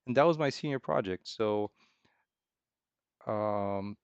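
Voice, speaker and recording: A man's voice: fairly low pitch, clean tone, no room sound. Hesitant man, slightly muffled mic. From Romania. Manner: hesitant, rambling, halting with repeated phrases. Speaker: game developer streaming. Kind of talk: casual unscripted chat, answering viewers' questions.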